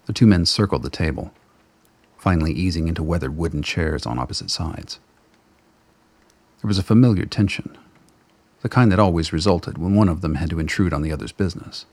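A man with a gravelly voice reading scripted narration for a radio drama. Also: sits low, fairly quick, pauses often, a little mellow, dry recording.

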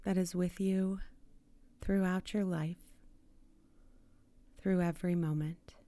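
Very slow, mellow woman guiding meditation. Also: on the low side, fairly even intonation, dry recording. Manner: soothing, spacious, with long pauses between short phrases. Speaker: meditation guide. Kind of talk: guided meditation.

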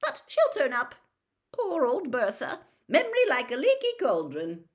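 A woman acts out a boyish, jovial man of the wizarding world in a storybook reading. The voice is pushed up high and loud, booming and swooping widely. He talks in an eager, breezy, colloquial way, like a born gambler. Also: huge pitch swings, unhurried, exaggerated voice. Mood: cheerful, breezy. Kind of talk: audiobook character voice.

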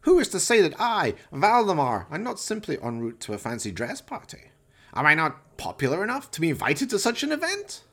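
Mad scientist villain, vain, grandiose, animated pitch swings, gravelly creak, radio play. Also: male voice, a little fast, full character voice. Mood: gloating, excited. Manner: pompous, florid, grandiose declamation.

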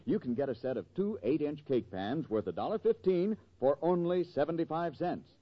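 High-pitched, animated 1940s radio announcer man. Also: normal speed. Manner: polished sales pitch. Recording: muffled vintage 1940s radio broadcast.

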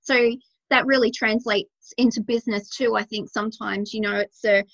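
A woman's voice: a little high, steady tone, moderate pace, fairly bright tone. A woman podcast host, chatting in an effusive, enthusiastic way. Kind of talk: conversational interview. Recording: slightly dull audio.

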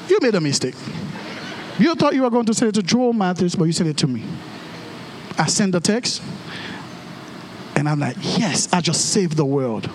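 A man with a Haitian accent, a native Creole and French speaker, preaching a storytelling sermon in an animated way and acting out dialogue with exclamations. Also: high voice, a little hiss or hum.

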